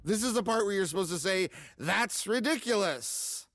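A man's voice: high-pitched, animated, moderate pace, fairly bright tone, slight background noise. A man playing a meek, insecure, unlucky student in a comedic audio drama, speaking in a hesitant, stammering, awkward way. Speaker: actor playing the hero.

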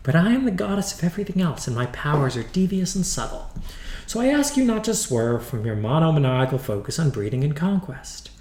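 A man voicing a sly, gentle goddess in a storybook reading, with sing-song, persuasive phrasing. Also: a little high, very animated, fairly quick, reverberant. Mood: coaxing. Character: sly, gentle, forgiving goddess of everything else.

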